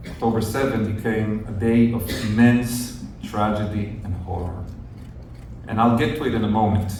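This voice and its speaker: A man, a young adult journalist, explains things to a group in an earnest, measured way. He is heard from a distance, his words washing around in strong echo. Faint noise sits underneath. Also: medium pitch, darkish tone. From northern Israel. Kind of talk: storytelling talk to visitors. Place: outdoors at a kibbutz near the Gaza border. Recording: very echoey, distant outdoor recording with faint noise.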